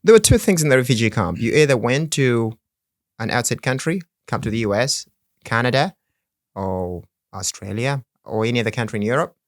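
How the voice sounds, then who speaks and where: Guest: Swahili-speaking man from the Congo, earnest, quick to laugh, dry studio sound. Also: medium pitch, expressive, no room sound. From Democratic Republic of the Congo. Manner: earnest, laughs often, restarts words. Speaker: podcast guest. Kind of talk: casual interview chat.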